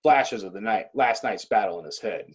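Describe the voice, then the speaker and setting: A man with a husky voice reading stage directions as dramatic scripted narration. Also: fairly low pitch, expressive, moderate pace.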